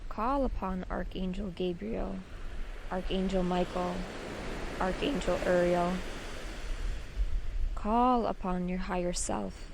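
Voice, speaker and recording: A woman guides a meditation at a very slow, measured pace. Her medium-pitched voice has a marked creak. A clear wash of noise like ocean surf runs behind her. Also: steady tone. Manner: slow, soothing, measured instruction with pauses.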